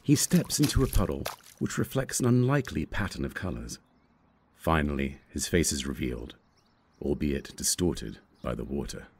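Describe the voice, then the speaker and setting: Deep, animated man reading screenplay narration. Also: normal speed, creaky, breathy edge. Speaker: script narrator.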